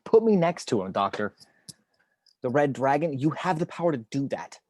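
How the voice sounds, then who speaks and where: A man's voice: medium pitch, sing-song, normal speed, a little mellow, no room sound. Man playing a terse investigator, dry close sound. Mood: tense, controlled. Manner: terse, insistent, controlled. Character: tense, driven male criminal investigator bargaining stubbornly. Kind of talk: dramatic table read.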